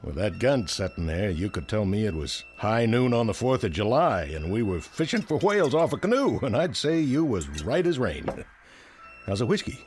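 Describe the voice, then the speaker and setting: An actor playing a wisecracking, uneasy American bartender in a radio drama, with folksy, placating chatter. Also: male voice, on the low side, very animated, normal speed, a little mellow, a little hiss or hum. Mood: nervous, placating. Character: wisecracking, uneasy big-city bartender trying to placate danger. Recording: music bed behind.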